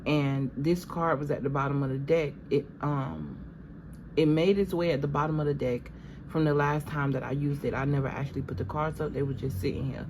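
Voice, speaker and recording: A woman with a low voice gives a channeled tarot reading and sounds a little stuffy from allergies. She talks casually and drifts from thought to thought, calling her viewers "y'all". Steady background noise runs under the recording. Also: brisk. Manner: conversational, meandering, addresses viewers as "y'all". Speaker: tarot reader.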